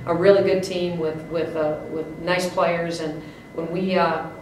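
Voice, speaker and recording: A woman's voice: lowish voice, flat delivery, measured pace. A woman in her fifties, a basketball coach at a postgame press conference, speaking in an upbeat, emphatic way with many restarts and fillers. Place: press room, indoors. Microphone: desk mic on stand. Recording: echoey room, mic at a distance, faint hiss.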